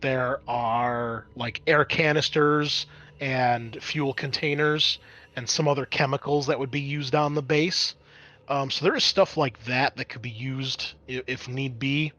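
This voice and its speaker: A man's voice: medium pitch, fairly even intonation, unhurried, sharp tone, lo-fi sound. A man narrating a tabletop roleplaying game as game master in a casual, conversational way, swearing freely.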